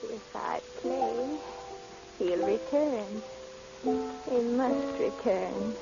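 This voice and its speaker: Slow, dreamy, wistful old lady. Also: a little high. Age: older. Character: frail, wistful, lovelorn old woman waiting for lost love. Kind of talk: 1940s radio drama acting. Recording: dull old radio recording, music behind.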